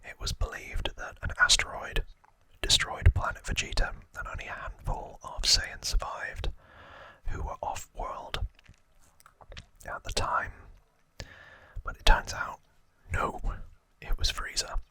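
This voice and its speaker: A man hosts ASMR in a constant breathy whisper with a bright, crisp hissing edge. He tells trivia informally, feeling his way through it from memory. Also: measured pace, dry recording, rough recording. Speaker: ASMR host.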